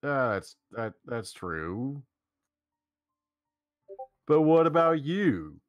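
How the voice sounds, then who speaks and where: A man's voice: medium pitch, big pitch swings, very slow, muffled recording, faint music behind. A man reading a hesitant teenage boy in a staged reading of a visual novel.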